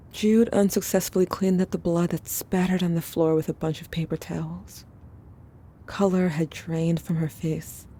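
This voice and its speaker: A woman playing a haunted, protective sibling in an audio drama, confiding a traumatic memory in a hushed, subdued way. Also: lowish voice, natural voice. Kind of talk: intimate scripted second-person narration.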